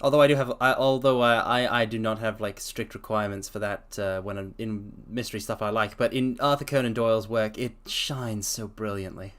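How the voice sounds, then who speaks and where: A man's voice: fairly low pitch, steady tone, a little fast, few pauses, fairly bright tone. A man streaming a dramatic reading, often stopping to correct himself and adding chatty asides.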